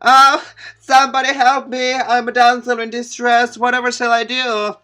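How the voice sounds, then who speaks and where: A man's voice: very high-pitched, level tone, moderate pace, sharp tone, faint music behind, pitched above the performer's own voice. A man voicing a melodramatic young damsel in distress in a theatrical, swooning manner.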